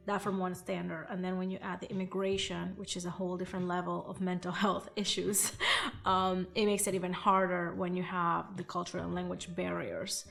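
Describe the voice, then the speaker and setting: A woman's voice: fairly low pitch, creaky, fairly bright tone. A woman in her thirties, raised in Venezuela, speaking English in an earnest, reflective documentary interview. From Portugal and Venezuela. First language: Spanish or Portuguese. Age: 30s. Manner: earnest, reflective, frequent fillers. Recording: faint music behind.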